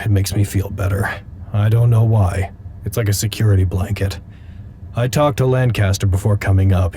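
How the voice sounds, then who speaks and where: A man playing an anxious, introspective staffer in an audio drama, speaking a measured, confessional log. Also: on the low side, warm tone, natural voice.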